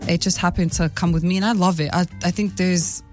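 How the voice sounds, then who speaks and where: A woman's voice: lowish voice, brisk, faint music behind. A woman with an American accent, who grew up speaking Tongan, chats easily as a guest in a radio studio interview. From Salt Lake City, Utah, USA. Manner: relaxed, chatty, laughs between answers. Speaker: guest singer.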